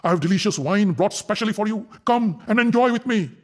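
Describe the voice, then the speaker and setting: A man's voice: sits high, big pitch swings, brisk, slightly rough, pitched above the performer's own voice, full character voice. A man voicing a lustful, arrogant male army general in a storybook tale, with a leering, coaxing manner. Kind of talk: storybook character voice.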